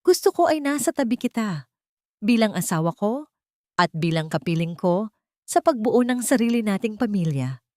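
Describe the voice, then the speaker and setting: A woman's voice: medium pitch, big pitch swings, normal speed, pauses often, darkish tone, no room sound, studio quality, voice pushed up, lightly acted. Woman voicing a tender, resolute young heiress. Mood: tender, happy.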